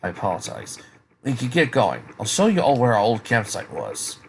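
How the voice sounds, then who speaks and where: A man playing a polite, concerned tribesman with a measured, earnest manner in a fan fiction reading. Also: lowish voice, huge pitch swings, creaky, slight background noise, heavily acted. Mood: sober, regretful. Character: polite, concerned male tribesman recalling an attack. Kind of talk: amateur fan fiction voice acting.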